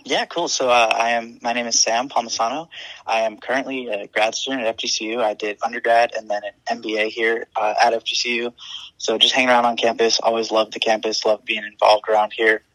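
Man in his 20s, podcast guest, easygoing, flat low voice, dry call audio. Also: a little fast, no echo, rough recording. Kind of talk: casual chat.

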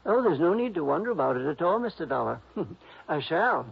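A dull old radio recording of a sweet, eccentric old male composer. His voice sits rather high and has some creak. He rambles dreamily and hesitates as he goes, in 1960s radio drama style. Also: big pitch swings, fairly quick, full character voice. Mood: dreamy, delighted. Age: older. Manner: rambling, fluttery, hesitant, chuckling.

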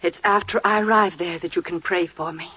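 A woman's voice: on the low side, fairly even intonation, fairly quick, sharp tone, husky. An actress playing an earnest, resolute mother in a biblical 1940s radio drama, with solemn, dramatic delivery. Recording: muffled 1940s radio recording.

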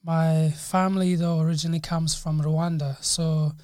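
A man's voice: on the high side, little pitch movement, normal speed, a little mellow, clean tone, no echo, clean studio sound. Easygoing young man from Congo. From Congo, raised in Uganda. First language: Kinyarwanda. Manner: easygoing, talks in short loose phrases with many likes and yeahs. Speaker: podcast guest, nurse. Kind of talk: casual interview.

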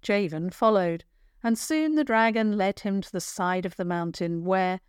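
A woman narrating a children's adventure story in a measured, careful storytelling manner. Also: medium pitch, clear, resonant tone, no room sound, studio quality.

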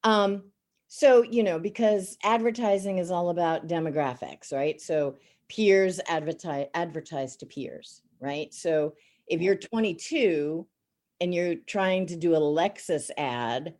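Brief: middle-aged woman, raspy, sarcastic, fairly low voice, chatty interview guest. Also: a little slow, a little mellow. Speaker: voice actor and teacher. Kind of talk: casual interview chat.

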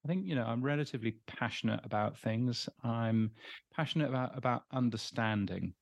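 A middle-aged man with an English accent, answering interview questions in a casual, halting way with many ums and restarts. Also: mid-range voice, gravelly, no echo, clean studio sound. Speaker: interview guest.

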